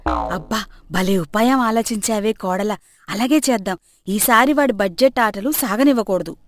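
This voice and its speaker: A woman voicing a scheming, sharp-tongued middle-aged mother-in-law in a comic moral story, with a scolding, dramatic manner. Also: mid-range voice, expressive, normal speed, natural voice. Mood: delighted plotting. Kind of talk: animated moral story character acting.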